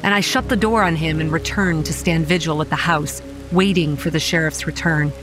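A woman playing a young campground manager, narrating a horror audio drama in a grave, measured way with a dry edge. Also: low voice, steady tone, lightly acted. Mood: grave, haunted. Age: young adult. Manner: grave, measured storytelling with dry sarcastic asides. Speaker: narrator and lead actor. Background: quiet music and rain.

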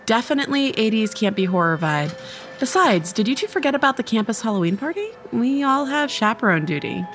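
A woman's voice: fairly high pitch, very animated, brisk, soft background music. A young woman acting a chatty, blunt college student who cares about her friend, in a casual, wry audio drama delivery. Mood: wry, teasing. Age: young adult. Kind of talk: dramatic dialogue in old time radio style audio play.

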